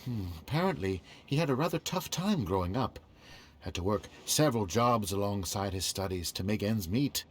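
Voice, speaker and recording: An actor voicing a friendly, gossipy older shopkeeper in a game, with a lively, folksy voice. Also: male voice, lowish voice, moderate pace, slight background noise, lightly acted. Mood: chatty. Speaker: game character.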